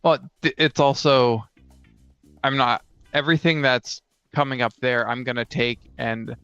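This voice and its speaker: A man's voice: medium pitch, fairly even intonation, a little slow, bright, forceful tone, clean tone, no echo, dull audio, faint music behind. A man in casual conversation as a podcast co-host, explaining things in a matter-of-fact way. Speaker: podcast co-host and game master.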